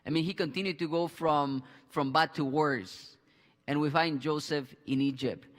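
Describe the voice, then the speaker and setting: Pastor hosting a Bible talk, hall reverb. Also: male voice, fairly high pitch, a bit bright. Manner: halting, many restarts and fillers. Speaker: pastor and host. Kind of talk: conversational Bible discussion. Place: church studio with a screen behind. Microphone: headset mic.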